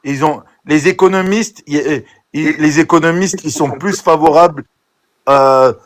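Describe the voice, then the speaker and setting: A man's voice: on the high side, unhurried. A man in a heated live political argument, insistent and interrupting, with many hesitations and restarts. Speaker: live debate participant.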